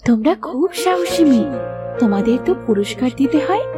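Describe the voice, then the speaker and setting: A woman voicing a gentle, earnest fairy who speaks solemnly and instructively in a children's cartoon. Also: high-pitched, animated, a little fast, music in the background. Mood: pleased.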